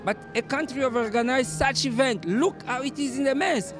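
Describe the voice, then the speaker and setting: A man from Congo with a Congolese accent, a reverend answering interview questions at a dinner event, earnest and halting with many fillers and restarts. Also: extremely high pitch. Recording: music playing behind.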